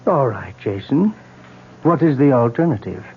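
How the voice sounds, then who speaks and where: An actor playing a calm, questioning psychiatrist in an old radio drama, with a husky voice. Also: male voice, mid-range voice, normal speed. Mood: probing. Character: probing, calm male psychiatrist. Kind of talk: 1970s radio drama acting. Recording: muffled lo-fi old radio broadcast.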